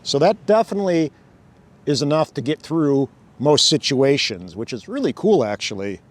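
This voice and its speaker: A man's voice: fairly high pitch, very animated, moderate pace. A man hosting a casual hands-on demo outdoors by a fountain, thinking aloud and laughing often as he goes. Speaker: drone channel host. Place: outdoors by a fountain, cold weather.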